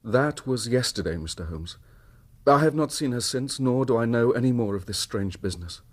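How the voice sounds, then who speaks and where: Victorian husband, male actor, British, husky mid-pitched voice, formal, earnest, emotionally wounded, period radio drama. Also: lively intonation, moderate pace, natural voice. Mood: hurt, grave. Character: troubled, proud, suspicious Victorian husband. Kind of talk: radio drama acting, narrating his own story.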